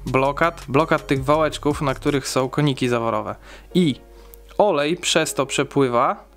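A young man explains an engine job in his home workshop, talking casually and step by step. His voice sits at a middle pitch, and he fills gaps with small hesitations. Soft music plays faintly beneath the camera-mic sound. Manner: chatty, explains step by step with fillers. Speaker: car repair vlogger.

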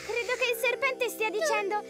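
Squeaky tiny cartoon animal. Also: female voice, fairly even intonation, moderate pace, over music. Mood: worried. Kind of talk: children's cartoon dubbing.

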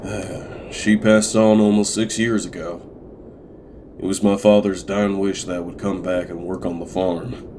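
A man playing a weary older widowed farmer, measured and plain-spoken, in a comic dub. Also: low voice, flat delivery, a little mellow, slight background noise. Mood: wistful. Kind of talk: amateur comic dub acting.

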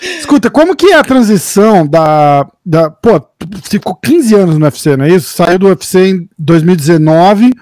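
A man's voice: sits high, big pitch swings, normal speed, darkish tone, no echo. A Brazilian man hosting a podcast from a lit-up studio room, asking questions in a casual, slangy way. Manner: casual, slangy, brief interjections. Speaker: podcast host. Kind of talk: casual video-call interview. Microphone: headset.

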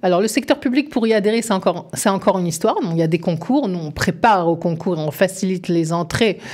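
A woman's voice: on the low side, quick pace, darkish tone. A woman in her fifties, an employment advisor, explaining things earnestly and persuasively in a radio studio interview. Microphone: studio microphone.

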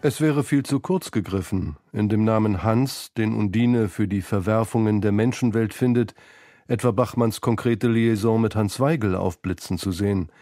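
A man's voice: lowish voice, warm tone, no room sound, studio quality. A man reading scripted narration for a radio feature about literature. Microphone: close studio microphone.

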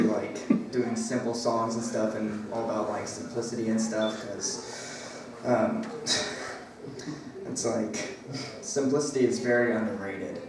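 A man's voice: medium pitch, background noise. A young man chatting casually from an open mic stage before his song, rambling and hesitant with lots of "like"s. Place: small gallery room, indoors. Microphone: stand mic, filmed by a distant camera. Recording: echoey room from a distant camera, guitar.

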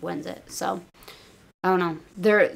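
Low-pitched woman chatting on a podcast. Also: fairly even intonation, measured pace, creaky, crowd noise. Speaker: wrestling podcast co-host. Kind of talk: casual podcast chat.

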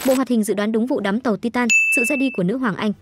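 A woman's voice: on the high side, quick pace. A woman reading scripted entertainment news as a narrator. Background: background music.

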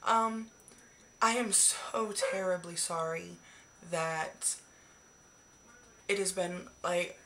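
A woman in her twenties, hoarse from the flu, chatting casually and swearing freely about her beauty favorites into a webcam in a small bedroom. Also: on the low side, extremely slow, pauses often, background noise. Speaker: beauty vlogger. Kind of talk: casual monthly favorites chat. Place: small decorated bedroom, indoors. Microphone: webcam at arm's length.

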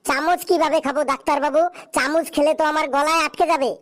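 A woman voicing a naive, cheeky cartoon patient in an animated comedy, speaking with innocent earnestness. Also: sits high, quick pace, lightly acted. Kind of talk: animated comedy sketch dialogue.

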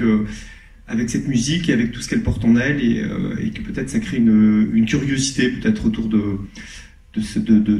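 Man, mellow voice, hesitant, handheld mic, distant, roomy cinema hall. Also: mid-range voice, a little hiss or hum. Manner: hesitant, searching for words. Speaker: film director at a screening Q&A. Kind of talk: casual onstage talk to an audience. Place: large cinema hall, on stage. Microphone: handheld microphone over the hall PA. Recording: echoey hall heard from afar.

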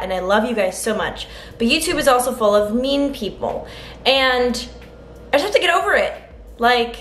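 A woman's voice: fairly high pitch, a bit bright. A young woman in her twenties chatting casually through a home cooking vlog, upbeat and thinking aloud as she works, filmed close on a handheld camera in a small apartment. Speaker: vlogger. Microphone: handheld camera close to face. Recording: echoey room with faint background noise.

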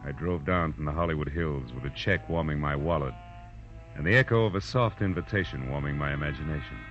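An American man playing a wry, flirtatious hard-boiled private detective in a 1940s radio drama, deliberate and world-weary. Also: rumbling low. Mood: wry, musing. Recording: dull vintage radio recording, faint music.

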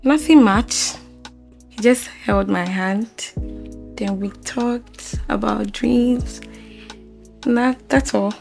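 A woman's voice: on the high side, very slow, creaky, pauses often, heavily acted. A woman playing a young woman who brushes off questions about her date and teases her friend. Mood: teasing. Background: soft music.

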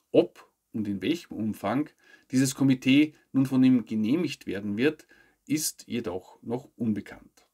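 A man, reading scripted news commentary to camera in a measured, formal way. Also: fairly low pitch, a little mellow, slightly rough. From Austria. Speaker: news show host. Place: indoor studio with plain backdrop.

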